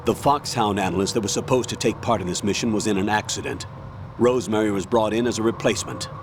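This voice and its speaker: Man acting a low-voiced stern older army colonel. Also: noisy background, natural voice. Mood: instructive, firm. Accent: American. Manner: authoritative, measured, clipped military delivery. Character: stern older male colonel briefing a soldier. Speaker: commanding officer giving a mission briefing. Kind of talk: video game cutscene briefing.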